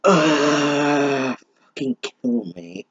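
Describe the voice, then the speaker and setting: A man's voice: a little high, lively intonation, drawn-out words, fairly bright tone, slightly rough, lo-fi sound. A man giving a mocking reading of fan fiction, breaking in with exasperated, sarcastic, sweary asides. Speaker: host of a bad-fanfiction reading series.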